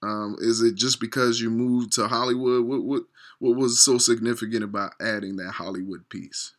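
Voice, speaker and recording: A man's voice: mid-range voice, fairly even intonation, moderate pace, fairly bright tone. A man hosting a casual interview show, talking slangy and hyped, often repeating himself. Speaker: show host.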